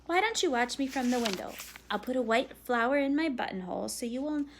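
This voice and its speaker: A woman voicing a calm, patient father who gently teases his daughter with dry jokes. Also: high voice, a little fast, a little hiss or hum. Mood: gently teasing. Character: calm, teasing father, a lawyer.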